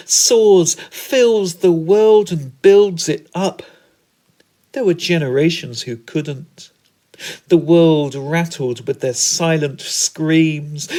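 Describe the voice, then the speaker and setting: A man reciting a scripted comic story poem in a measured, theatrical way. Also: high voice, darkish tone. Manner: measured, theatrical, deliberate delivery. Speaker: spoken word performer, storyteller. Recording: music under the voice.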